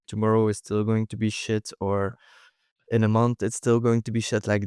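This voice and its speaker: Man, clear and dry-sounding. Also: lowish voice, a little mellow, dry recording. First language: Dutch. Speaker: podcast host, interviewer. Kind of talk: casual conversational interview.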